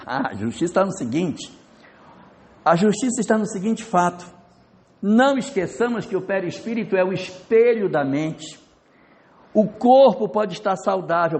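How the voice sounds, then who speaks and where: A man giving a spiritist lecture in a lecture hall, telling stories in a lively way and acting out the dialogue. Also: high voice. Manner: storytelling, lively, acts out dialogue. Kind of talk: religious lecture. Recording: reverberant hall.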